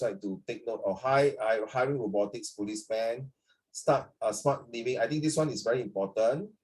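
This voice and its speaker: Singaporean male teacher, flu-husky voice, talky online lesson, calls on students by name. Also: mid-range voice, a little mellow, rough recording. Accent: Singaporean English. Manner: chatty, mixes English and Mandarin, checks in with students by name. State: recovering from flu. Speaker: social studies teacher. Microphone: video call.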